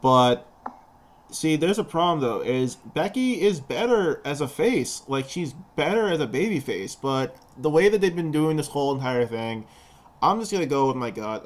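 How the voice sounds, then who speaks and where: A young man with a lisp, co-hosting a casual wrestling prediction chat and rambling with repeated words. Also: medium pitch. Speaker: podcast co-host.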